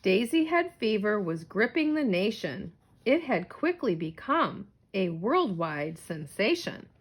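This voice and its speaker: A woman reading a rhyming children's story aloud in an animated, theatrical storytelling manner. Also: mid-range voice, a little slow, breathy edge. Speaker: storybook reader.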